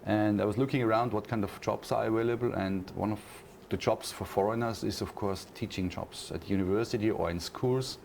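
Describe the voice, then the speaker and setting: Austrian man with a gravelly low voice. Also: a little mellow, slight background noise. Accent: Austrian German. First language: German. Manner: thoughtful, many pauses and fillers, restarts phrases. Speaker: university lecturer, interview guest. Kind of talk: casual interview answers.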